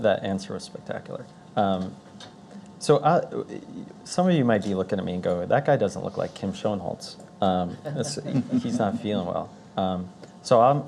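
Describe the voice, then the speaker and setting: An American man moderates a live Q&A with a low, gravelly voice. He talks informally and haltingly with a joking streak, over faint background noise. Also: darkish tone. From Alaska, United States. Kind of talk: casual live Q&A.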